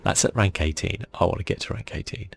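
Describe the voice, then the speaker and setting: A man giving soft-spoken ASMR commentary on a video game in a murmured, laid-back way, as if thinking aloud. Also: sits low, quick pace, gravelly, breathy edge. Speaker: gaming channel host. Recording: faint music behind.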